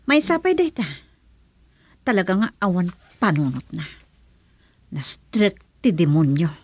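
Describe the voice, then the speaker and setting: An indignant, wounded young woman in a radio drama with a Filipino accent. Her pitch swings widely, and the sound is dry and close. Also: big pitch swings, unhurried, darkish tone, no room sound. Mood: angry. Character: hurt, indignant young woman betrayed in love.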